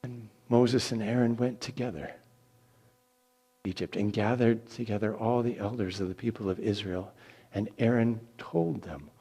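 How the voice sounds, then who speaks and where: A man reading scripture as an expressive, theatrical narrator. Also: fairly low pitch, husky. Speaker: scripture reader.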